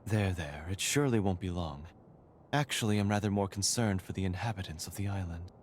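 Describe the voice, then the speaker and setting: Courteous male researcher for a game cutscene, acted: lower register, absent-minded, polite, never ruffled. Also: darkish tone, a little hiss or hum, natural voice. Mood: placid, reassuring. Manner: polite, measured, genteel, unhurried. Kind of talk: video game cutscene acting.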